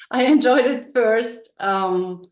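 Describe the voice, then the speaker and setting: A middle-aged woman from northern Germany with a German accent, a guest in a casual interview, talking thoughtfully with many ums and restarts. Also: fairly high pitch. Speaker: guest, business coach. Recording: echoey dull-sounding room.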